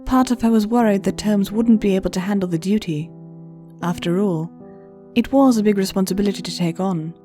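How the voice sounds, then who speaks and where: Bright-edged or mellow? no: mellow woman narrator. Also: mid-range voice, a little fast. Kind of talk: scripted story narration. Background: faint music.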